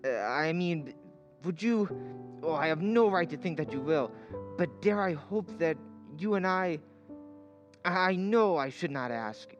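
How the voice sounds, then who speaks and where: An actor playing a shy, earnest, humble suitor with a halting, pleading delivery in an old-time radio drama. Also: male voice, fairly high pitch, animated, muffled recording, music in the background. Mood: pleading, tender.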